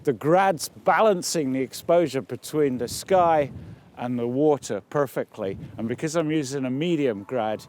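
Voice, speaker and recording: A man's voice: medium pitch, normal speed, a little mellow, slight background noise. A man giving unscripted photography instruction outdoors, thinking aloud as he demonstrates. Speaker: photography presenter. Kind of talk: instructional talk to camera, unscripted. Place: outdoors on location.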